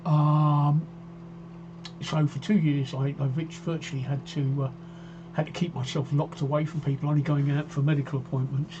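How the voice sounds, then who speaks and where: A man's voice: a little high, little pitch movement, normal speed, highs cut off, noisy background. A man in his sixties hosting a casual live stream, chatting in a rambling way and often repeating himself while he reads out viewer comments. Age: 60s. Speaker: livestream host. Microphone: desk microphone.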